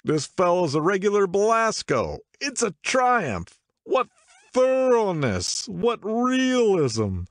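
A man voicing an excitable, tipsy middle-aged man who insists over and over, in a much higher voice than his own. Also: takes it slowly, no echo, studio quality, full character voice. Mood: excited insistent.

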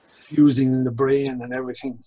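A man in his sixties with an Irish accent, a course instructor telling his own story slowly and reflectively on a video call from a home office. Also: mid-range voice, flat delivery, warm tone, low-quality recording. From Ireland. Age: 60s. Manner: measured, reflective, slows down on key phrases. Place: home office with bookshelves, indoors. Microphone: video call webcam.

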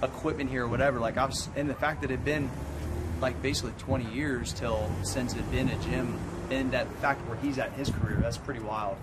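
A man in his forties giving a casual, animated walking tour of his old gym spots, swearing freely as he tells stories. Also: medium pitch, a little fast. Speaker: gym owner and host. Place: outdoors on a street and in a gym. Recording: loud background noise outdoors.

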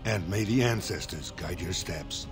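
An actor voicing a dignified older dwarf lord in a fantasy video game, speaking formally and with measured gravity. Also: male voice, sits low. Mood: grave, formal. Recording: game dialogue over prominent music. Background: loud background music.

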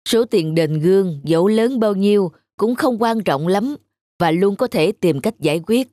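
Woman as a measured, moralizing cartoon father. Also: medium pitch, expressive, mellow, dry recording, clean studio sound, natural voice. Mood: kindly, earnest. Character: stern, principled middle-aged father, patient teacher of responsibility. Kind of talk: animated cartoon acting. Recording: music under the voice.

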